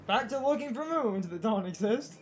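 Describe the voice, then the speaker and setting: A young woman co-hosting a let's play with casual, animated gaming commentary. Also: fairly high pitch, moderate pace. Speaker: let's play co-host and editor. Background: video game music.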